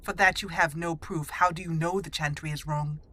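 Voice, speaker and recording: A woman's voice: low-pitched, steady tone, moderate pace, pushed and bright. A woman voicing a stern, skeptical elf mage while roleplaying a video game, speaking in a firm, plain way.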